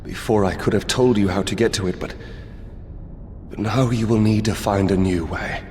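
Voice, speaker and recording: An actor playing a grave, weary fugitive in a video game, speaking solemnly and fatalistically. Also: male voice, moderate pace, darkish tone, lightly acted. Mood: grave, resigned. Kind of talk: video game dramatic acting. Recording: long cavernous reverb, faint rumbling noise.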